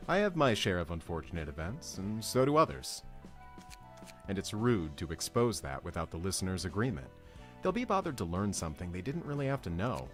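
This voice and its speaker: A man voicing a polite, stoic servant-librarian in a game script read-along, formal and given to lecturing. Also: sits low.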